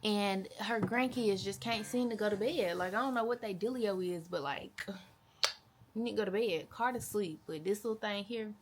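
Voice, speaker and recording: A woman in her twenties chatting casually to camera as a vlogger, with a playful, animated and slangy way of talking. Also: medium pitch, normal speed, noisy background. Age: 20s. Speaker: vlogger, mother.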